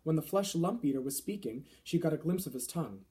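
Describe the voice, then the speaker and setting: A man's voice: a little high, animated, quick pace, warm tone. A man reading a children's novel aloud as scripted narration. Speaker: storybook reader.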